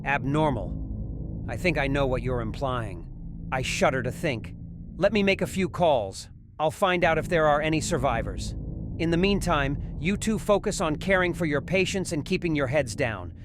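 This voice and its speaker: A man performs an authoritative senior physician in a horror audio drama. He speaks formally and deliberately in a somewhat high voice. Faint ambient noise sits behind him. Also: animated, lightly acted. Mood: troubled, firm. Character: senior male hospital doctor, grave and authoritative.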